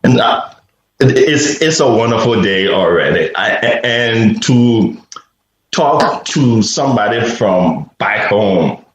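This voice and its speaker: A man from Liberia, a guest on a radio interview, telling a personal story slowly and reflectively, often restarting his sentences. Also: lowish voice, lively intonation, very echoey, slight background noise, rough recording. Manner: slow, reflective, with repeated restarts.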